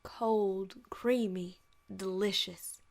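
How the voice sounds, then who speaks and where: A woman's voice: medium pitch, steady tone, drawn-out words, vocal fry. A woman voicing a folksy young farm pony mare in a cartoon fan story, savoring her tale as she tells it. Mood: savoring, nostalgic. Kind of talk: cartoon fan fiction voice acting.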